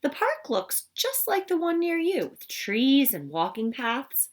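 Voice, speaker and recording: A woman reading a picture book aloud to children in an expressive, teacherly way, explaining things simply. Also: high-pitched, unhurried. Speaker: storybook reader for children.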